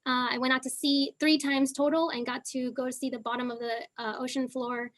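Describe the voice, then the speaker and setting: A woman's voice: high voice, level tone, brisk, a bit bright. A young woman from Argentina with a Southern California accent, telling her story as a panelist in a casual way, with many fillers. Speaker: panelist, geochemist. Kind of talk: online panel talk.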